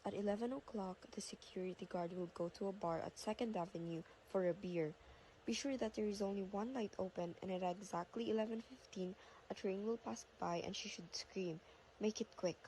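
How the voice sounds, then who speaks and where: A teenage girl acts a cold, businesslike crime boss in an amateur radio play. The voice is mid-pitched and dark, giving orders flatly over faint noise. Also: brisk, close to an everyday voice, poor audio. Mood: cold, instructing. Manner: commanding, matter-of-fact. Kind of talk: amateur radio drama acting.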